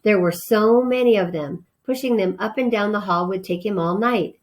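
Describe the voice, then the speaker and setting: Female storyteller, medium pitch, informal read-aloud for children with casual asides. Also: normal speed. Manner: informal, explains words simply.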